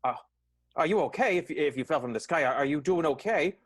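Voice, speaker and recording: A man's voice: fairly high pitch, animated, a little fast. A man playing a flustered, earnest vaudeville theater boss, stammering and hesitant, in an improvised radio play. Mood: awkward.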